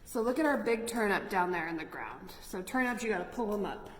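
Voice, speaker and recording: A woman's voice: medium pitch, lively intonation, fairly quick. A woman hosting a children's storytime, reading picture books aloud expressively and adding chatty, exclaiming asides to the listeners. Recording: echoey, reverberant room with noticeable noise.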